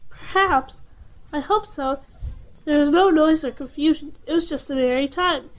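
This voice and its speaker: Woman acting a gentle, high-voiced mother. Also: moderate pace, natural voice, low-quality recording. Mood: tender concern. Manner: soothing, formal old-fashioned phrasing. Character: gentle, tender mother of a sick child. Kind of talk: audio drama acting.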